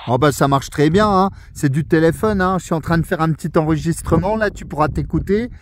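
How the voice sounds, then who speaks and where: Man, high voice, relaxed radio chat, outdoors on a mountain, faint hiss. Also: fairly quick. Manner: relaxed, friendly, explains gear. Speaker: radio amateur and YouTuber.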